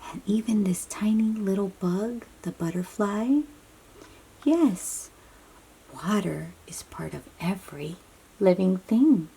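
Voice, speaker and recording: A woman with a soft, breathy voice reads a picture book aloud to young children, gently explaining and chatting to them as she goes. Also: medium pitch, animated, rough recording. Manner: slow, child-directed, encouraging questions and affirmations. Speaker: read-aloud storybook host.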